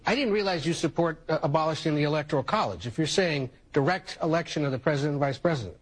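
Measured male news anchor, husky. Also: a little high, animated. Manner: probing, measured questions. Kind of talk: broadcast interview.

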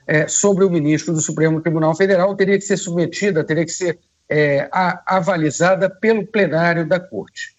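A man with a cold giving measured radio news commentary, pausing often with hesitations. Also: high voice. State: hoarse from a cold. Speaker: political commentator.

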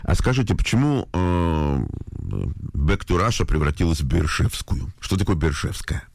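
A man with an extremely deep voice conducts a conversational interview. He asks long questions, offers missing words and nods along with brief sounds. The recording is dry and close. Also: expressive, normal speed, dry recording.

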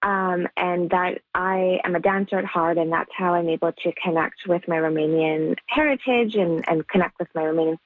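A woman's voice: on the low side, normal speed, hardly any pauses, no echo. A woman giving conversational answers as a guest in a radio interview. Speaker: radio guest, dance group artistic director. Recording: muffled phone-like line, very dry.

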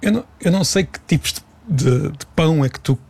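A man's voice: on the high side, expressive, quick pace, vocal fry, darkish tone, slightly rough. A man with a European Portuguese accent explaining things in unscripted conversation, in a didactic, emphatic way, rephrasing as he goes. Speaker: language expert, regular guest.

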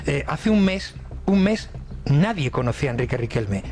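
Male sports pundit, Castilian accent from Spain, slightly high voice, emphatic unscripted debate. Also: big pitch swings, normal speed, a little mellow, slight background noise. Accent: Castilian Spanish from Spain. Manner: emphatic, repeats words for stress.

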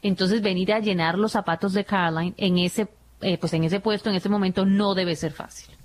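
A woman with a Colombian accent talks casually as a radio panelist. Her voice sits at a medium pitch. She is expressive and sometimes mixes in English phrases. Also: moderate pace. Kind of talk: casual on-air chat.

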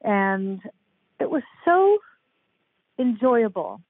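An older woman talks openly as an interview guest, chatty and quick to laugh. Her voice comes through a thin, phone-like line. The sound is dry and close. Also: fairly high pitch, sing-song, slightly rough, dry recording. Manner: chatty, frank, laughs easily. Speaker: guest, author. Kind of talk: casual interview chat.

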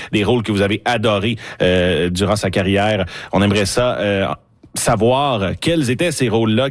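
A man leads a studio discussion in a low, steady voice. He speaks in a measured way, with frequent pauses and fillers. The sound is close and dry. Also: dry recording. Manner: measured, steady, many hesitations. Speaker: radio show host. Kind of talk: hosting a panel discussion.

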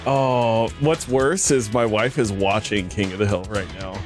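A man's voice: lowish voice, a little slow, faint music behind. A man calling into a radio show, making chuckling, self-deprecating guesses at trivia. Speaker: radio call-in contestant. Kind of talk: casual phone chat.

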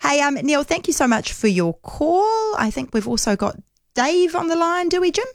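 A woman's voice: high-pitched, very animated, normal speed, no echo, clean studio sound. A woman with a New Zealand accent hosting talkback radio, chatty and agreeable, laughing easily with callers. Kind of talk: casual radio chat with callers. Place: radio studio.

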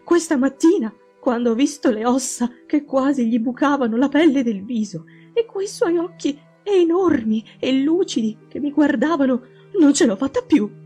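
A woman's voice: sits high, lively intonation, normal speed, mellow, soft background music, voice pushed up. A woman playing a frantic old housekeeper. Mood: anxious pleading. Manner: urgent, pleading, breathless with worry. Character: anxious, frightened older woman housekeeper.

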